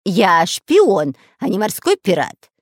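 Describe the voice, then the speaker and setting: A woman voicing a whiny, boastful, excitable male bandit spy in a children's storybook. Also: on the high side, moderate pace, no room sound, clean studio sound, voice pushed up. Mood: offended. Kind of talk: children's storybook character acting.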